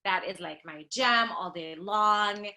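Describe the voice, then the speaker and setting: Woman, podcast guest, enthusiastic, crisp bright tone. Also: mid-range voice, takes it slowly. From Zimbabwe. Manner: enthusiastic, animated, laughs often. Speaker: guest, nurse practitioner and lactation consultant. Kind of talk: casual podcast interview.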